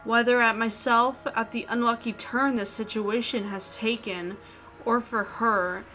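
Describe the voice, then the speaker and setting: A woman's voice: a little high, steady tone, unhurried, sharp tone, soft background music. A woman hosting a gaming video, reading out a visual novel's narration with casual asides.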